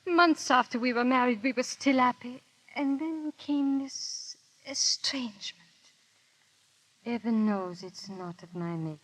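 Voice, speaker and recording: An actress playing a proud, unhappy aristocratic wife with refined, emotive delivery in 1930s radio style. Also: female voice, a little high, a little slow, a bit bright, rough recording. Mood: hurt, wistful. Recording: vintage 1930s radio broadcast.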